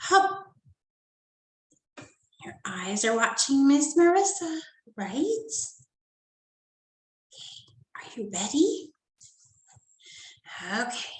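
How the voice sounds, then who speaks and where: A woman teacher reading a storybook aloud to first graders in a sing-song, animated way, often dropping to soft whispered asides. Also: high voice, extremely slow, pauses often. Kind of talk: storybook read-aloud lesson for young children. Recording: echoey room.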